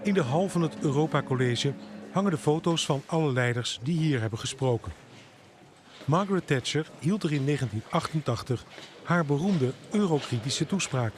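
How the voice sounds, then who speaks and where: A man's voice: a little high, lively intonation, brisk, a little hiss or hum. A Dutch man reading scripted news narration as a reporter.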